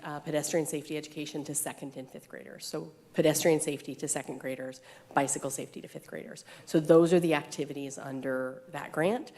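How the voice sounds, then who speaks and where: A woman's voice: low-pitched, a little mellow. A woman on city staff answering a committee member's question in a matter-of-fact, precise way at a public meeting. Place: council chamber.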